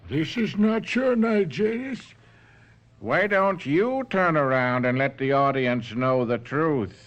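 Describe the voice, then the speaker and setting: A vengeful puppet-master sorcerer, higher-pitched and very slow in delivery. He taunts theatrically, in radio drama style, as a body thief speaking from inside a dummy. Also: muffled recording, noisy background. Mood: commanding, triumphant.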